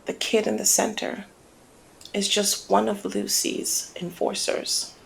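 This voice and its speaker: A woman playing a flirty, cunning female mob boss in an intimate ASMR roleplay, speaking in a teasing, deliberate murmur that often slips toward a whisper. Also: medium pitch, level tone, a little hiss or hum, rough recording. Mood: cool, knowing. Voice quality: breathy creaky murmur, often dropping to whisper. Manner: teasing, deliberate, drawn-out phrasing.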